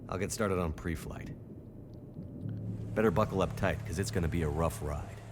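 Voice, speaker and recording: An actor playing a bush pilot in a video game, matter-of-fact with a dry, wry manner. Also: male voice, low voice, normal speed, noisy background, close to an everyday voice. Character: gruff bush pilot man, dry humor. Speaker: game character. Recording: game audio with loud music.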